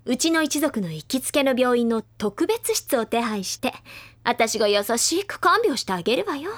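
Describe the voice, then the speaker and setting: A woman playing a confident, teasing high-school senior girl who recounts gossip in a feminine storytelling way in an audio drama. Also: lively intonation, moderate pace. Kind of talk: anime audio drama dialogue.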